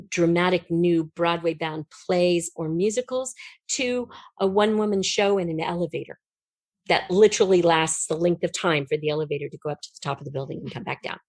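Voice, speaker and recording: A middle-aged woman speaking as an interview guest, telling stories conversationally in an animated, emphatic way. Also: on the low side, no room sound. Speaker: guest, writer and performer.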